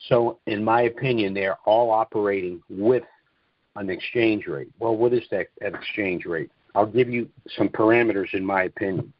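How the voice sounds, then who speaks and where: A man giving an informal, opinionated monologue on a conference call, speaking emphatically and deliberately, repeating phrases for weight. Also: fairly low pitch, no echo. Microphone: conference call line. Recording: dull, dry, lo-fi conference call audio.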